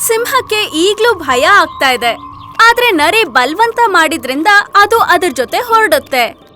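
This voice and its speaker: A woman's voice: very high voice, sing-song, a little slow, pushed and bright. A woman narrating a scripted children's story as a storyteller. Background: music.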